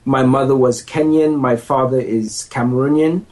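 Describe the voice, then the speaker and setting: A man in his thirties, mid-pitched, talks loosely and laughs at himself as a podcast guest. He restarts sentences often and rambles a bit before getting to the point. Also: normal speed, a little mellow. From United States. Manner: self-deprecating, laughs easily, rambling restarts. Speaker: podcast guest, lawyer and entrepreneur. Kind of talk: relaxed conversational interview. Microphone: handheld microphone.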